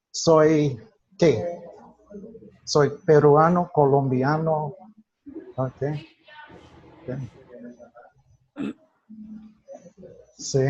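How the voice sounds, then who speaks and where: A man teaching a class, lecturing slowly and haltingly with frequent restarts and questions to his students in a classroom. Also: fairly high pitch, darkish tone. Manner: slow, halting delivery with many restarts, quizzing the class. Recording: dull lo-fi recording.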